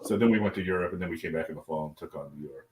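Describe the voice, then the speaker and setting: A man's voice: sits low, very fast, a little mellow, reverberant, slight background noise. An American man telling casual stories as an interview guest, rambling and sweary, with stammered repeats. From American Midwest. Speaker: guest artist. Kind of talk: casual anecdotal storytelling in a live interview.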